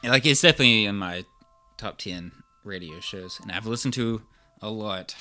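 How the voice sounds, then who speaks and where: A man's voice: fairly low pitch, moderate pace, sharp tone, soft background music. A man co-hosting a podcast in casual chat, rambling and repeating his phrases as he goes. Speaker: podcast co-host. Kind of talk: casual chat reviewing horror radio.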